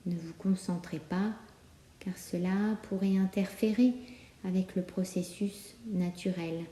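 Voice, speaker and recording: A woman leads a guided meditation in a calm, soothing manner. Her voice is slightly low and very mellow, and she takes her time with long pauses between short instructions. The sound is roomy, with clear echo around her. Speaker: yoga nidra guide.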